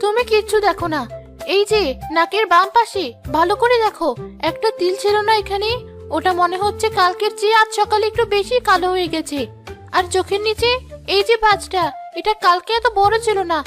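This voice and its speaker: A very high, almost childlike voice for a vain cartoon village wife , acted out by a woman. She complains with whiny melodrama, fretting over herself. Faint music sits behind her. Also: steady tone, fairly quick, fairly bright tone. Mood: distressed, whining. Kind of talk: animated moral-story drama acting.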